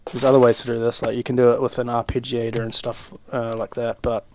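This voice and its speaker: A young man giving a casual, informal software tutorial, talking through each step in a laid-back, slangy way at a desk microphone. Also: medium pitch, completely flat delivery, fast talker, a little hiss or hum. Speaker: music production tutorial presenter. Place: small home room, indoors. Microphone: desk microphone on a boom arm.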